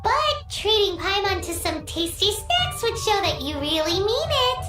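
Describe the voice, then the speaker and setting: A tiny fairy sidekick, very high voice, bouncy and sing-song, whiny, echoey game audio with loud music behind. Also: female voice, measured pace, exaggerated voice. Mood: cheerful. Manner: whiny, excitable, talks about herself in third person. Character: tiny floating female fairy companion, bratty and chatty.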